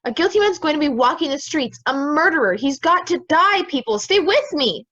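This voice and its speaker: A young woman acting a stubborn, hot-tempered juror in an audio drama. The voice is high and very animated, blunt and combative, on a slightly dull recording. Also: moderate pace. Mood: angry, pleading. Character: stubborn, hot-tempered juror dead set on a guilty verdict.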